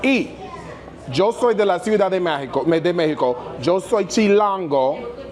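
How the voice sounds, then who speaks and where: A man hosting a food vlog, chatting playfully and competitively while mixing English with halting Spanish. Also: high-pitched, animated, a little mellow. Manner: joking, competitive, switching between English and broken Spanish. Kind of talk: playful casual chat. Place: busy restaurant. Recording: echoey room with crowd chatter and music.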